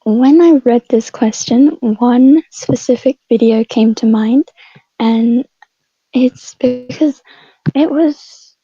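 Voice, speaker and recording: A woman's voice: on the high side, slow, dark tone, husky, no room sound. A woman chatting casually as a panel guest, with a hesitant, shy delivery over slightly muffled voice-chat audio. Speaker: voice actor on a podcast panel.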